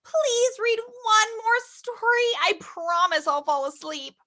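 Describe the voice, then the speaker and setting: A woman voicing an eager, impulsive little red chicken, a storybook child who pleads and apologizes excitedly. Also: extremely high pitch, slow, heavily acted. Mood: pleading. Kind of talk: storybook character voice.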